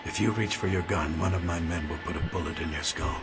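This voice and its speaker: A man playing a cold, controlled villain in a video game, speaking in measured, formal, menacing phrases. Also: sits low, fairly even intonation, close to an everyday voice. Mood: cold menace. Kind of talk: video game cutscene acting. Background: prominent music.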